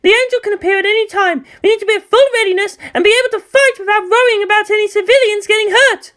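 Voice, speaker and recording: Woman acting a shrill, hot-tempered female commander. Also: quick pace. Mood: outraged. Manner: shouting, indignant, emphatic. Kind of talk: dramatic audiobook character acting.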